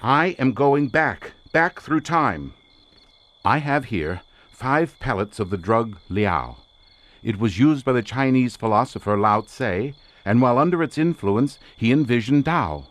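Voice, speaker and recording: Obsessed occult researcher, swooping delivery. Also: male voice, medium pitch, animated, measured pace, fairly bright tone, no echo. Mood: rapturous. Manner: grandiloquent, formal, theatrical. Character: obsessed, intense male occult researcher, imperious and fervent. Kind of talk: dramatic audio drama acting.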